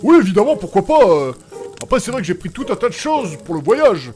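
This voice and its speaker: A man's voice: high voice, sing-song, a little fast, darkish tone, faint music behind. A man playing an indignant, sarcastic, scolding potion maker in a French fantasy comedy audio drama. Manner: sarcastic, exasperated, vulgar.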